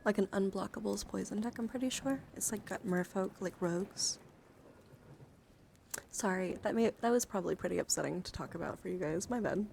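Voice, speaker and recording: A woman livestreamer talking in a casual, rambling ASMR style, with a soft voice that often slips toward a breathy whisper, close to the microphone. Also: mid-range voice, a little fast, slight background noise. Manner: rambling, informal. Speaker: livestreamer showing card decks.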